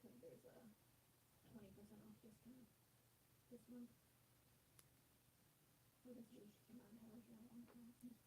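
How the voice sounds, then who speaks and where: A woman chatting casually in a quick, matter-of-fact way, with soft asides. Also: on the high side, level tone, background noise. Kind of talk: casual chat.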